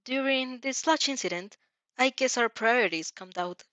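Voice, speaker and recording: A woman's voice: on the high side, moderate pace, a bit bright, no room sound, voice pushed up, natural voice. A woman voicing a shy, sheepish teenage schoolboy who is easily flustered and hesitant in an audio story. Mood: thoughtful. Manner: stammering, hesitant.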